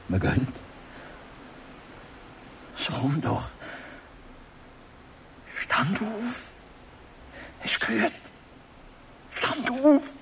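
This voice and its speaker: A man's voice: medium pitch, slight background noise. A man acting in a Swiss German radio drama as a predatory man who speaks in a coaxing, insinuating way. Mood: coaxing, urgent. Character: predatory man pressing himself on a young woman.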